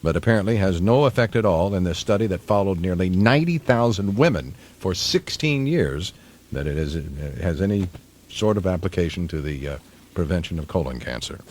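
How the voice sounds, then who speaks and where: A man's voice: deep voice, animated, fairly quick, a little mellow. A man reading news items on a radio show and adding his own offhand remarks. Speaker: radio newsreader and sidekick.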